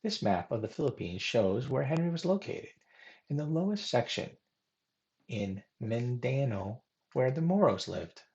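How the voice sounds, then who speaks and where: A man presents scripted historical narration in a formal, read-aloud way. His pitch moves animatedly up and down across phrases. A noticeable creak gives the voice a gravelly edge. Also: very animated, unhurried, a little mellow, highs cut off. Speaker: presenter reading a biographical history.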